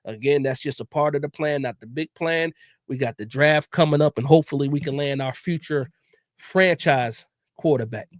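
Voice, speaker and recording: A man hosts a casual sports talk livestream in a relaxed, chatty way, often repeating himself and dropping "man" into his sentences. His voice sits a bit high. The sound is dry and close, with little room around it. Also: normal speed, no echo. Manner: laid-back, repeats words, says "man" often. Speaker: podcast host.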